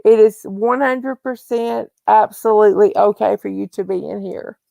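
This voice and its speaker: A woman's voice: medium pitch, normal speed, vocal fry, warm tone, dry recording. A woman hosting a casual livestream, chatty and often restarting her phrases. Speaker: livestream host.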